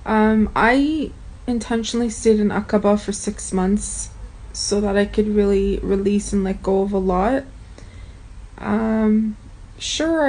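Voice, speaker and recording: A woman's voice: medium pitch, a little mellow, low-quality recording. A woman whose first language is Armenian, talking frankly and casually to her viewers in a vlog. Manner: chatty, frank, addresses viewers directly. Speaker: vlogger.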